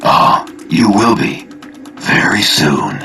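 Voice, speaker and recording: A menacing, middle-aged spymaster in a video game. His voice sits low with a hard, forceful edge. He is cocky and coaxing as he preaches for the hive mind, with music behind him. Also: big pitch swings, slow, vocal fry, full character voice. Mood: smug menace. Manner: taunting, cocky, persuasive. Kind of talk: video game cutscene acting.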